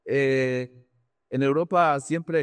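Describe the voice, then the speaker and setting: A man talks in an interview as an experienced executive. He has a mid-range voice and speaks haltingly, repeating words and slipping English terms into his Spanish. The sound is dry, with little room echo. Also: medium pitch, steady tone, clear, resonant tone. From Argentina. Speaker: business executive being interviewed. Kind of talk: conversational interview answers with anecdotes.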